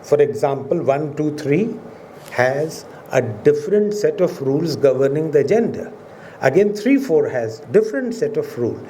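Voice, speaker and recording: Measured Indian man lecturing formally. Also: on the high side, sing-song, mellow, a little hiss or hum. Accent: Indian English. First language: Urdu. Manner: measured, oratorical, with emphatic rises on key words. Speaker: professor giving a public lecture. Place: museum auditorium.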